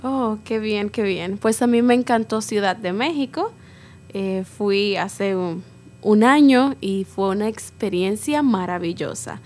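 A woman's voice: medium pitch, measured pace, a little mellow. A woman hosting a casual podcast interview, asking questions and summing up her guest's answers with encouragement. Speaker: podcast host.

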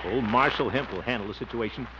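A man's voice: medium pitch, quick pace, lightly acted. An actor playing a jovial, pompous small-town man in a blustery, chuckling 1950s radio comedy style. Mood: jovial bluster. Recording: muffled, noisy 1950s radio recording.

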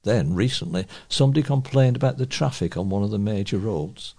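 A man's voice: fairly low pitch, animated, brisk, gravelly, darkish tone. A man reading local newspaper pieces aloud as a volunteer reader.

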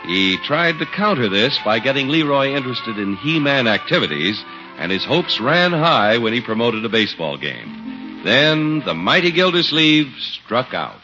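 A man's voice: medium pitch, very animated, normal speed, music in the background. A man announcing on 1950s radio in a polished, emphatic, scripted style. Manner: polished, emphatic sales delivery. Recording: dull, hissy 1950s radio recording.

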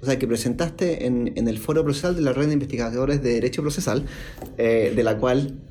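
A man's voice: medium pitch, brisk, warm tone, a little hiss or hum. Eager man asking questions. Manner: eager, repeats words while asking questions. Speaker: podcast interviewer. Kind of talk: conversational interview.

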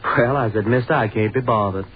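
An actor in a 1930s radio drama playing a cocky, rich college fraternity president who talks in a breezy, slangy, disdainful way. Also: male voice, mid-range voice, a little fast, slightly rough, close to an everyday voice. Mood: smug, boastful. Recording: tinny, noisy 1930s radio recording.

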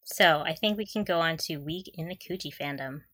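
Bright-voiced, gushing woman podcaster. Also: low-pitched, fairly quick, slightly rough. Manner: gushing, giggly. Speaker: podcast co-host. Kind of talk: casual fan chat.

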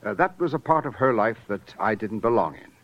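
Well-to-do fiancé: self-assured, formal, expressive pitch swings, dull vintage sound. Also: male voice, fairly quick. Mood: haughty, assured. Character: smooth-talking, haughty male fiancé, a well-to-do gentleman. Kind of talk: 1940s-50s radio crime drama acting. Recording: muffled vintage radio recording.

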